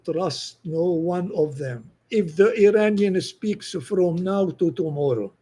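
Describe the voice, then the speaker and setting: Man, Lebanese accent, high-pitched, slow, broken English, appealing tone. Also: animated, warm tone, husky. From northern Lebanon. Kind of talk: political monologue.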